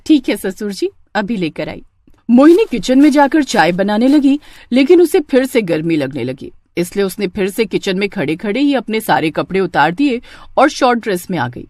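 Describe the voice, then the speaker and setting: A woman tells a scripted family tale in a storyteller's style. Her pitch sits in the middle but swings in a lively way, and the recording is dry. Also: normal speed, no room sound. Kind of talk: scripted moral-story narration.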